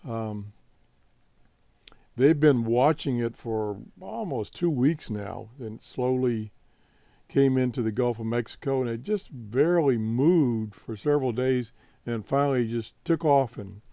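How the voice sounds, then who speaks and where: Male hobby-show host, American, unhurried and halting, relaxed soft-edged tone, dry close sound. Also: mid-range voice, no echo. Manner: halting, laid-back, rambling. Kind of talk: casual unscripted update.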